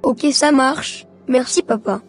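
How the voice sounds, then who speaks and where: A woman's voice: sits high, slow pace, husky, soft background music, heavily acted. A woman voicing an earnest, fed-up schoolboy son in a cartoon, with plain scripted delivery. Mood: obedient. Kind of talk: animated cartoon dialogue.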